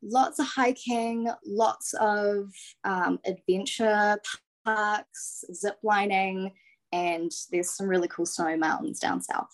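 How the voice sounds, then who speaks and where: A woman's voice: mid-range voice, fairly even intonation, slow pace. A woman with a New Zealand accent, a podcast guest chatting casually from her phone on a remote call. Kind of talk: casual chat.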